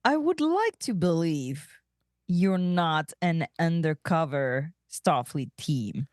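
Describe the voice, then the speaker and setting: Actress as older female alien pirate, low pitch, expressive swings, curt, disdainful, sci-fi table read. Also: slow, no room sound, clean studio sound. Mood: cold suspicion. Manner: curt, scornful. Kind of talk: fan script table read.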